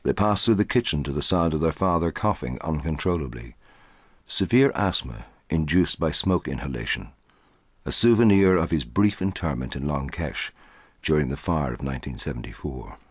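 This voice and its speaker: A middle-aged man reading a short story aloud in a measured, dramatic way, with a husky voice. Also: extremely low pitch, animated, no echo, clean studio sound. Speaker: audiobook narrator.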